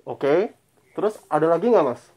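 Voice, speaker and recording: A man's voice: on the high side, expressive, moderate pace, darkish tone, husky. A young man asking questions in a polite, probing way, posing as a phone interviewer for a prank.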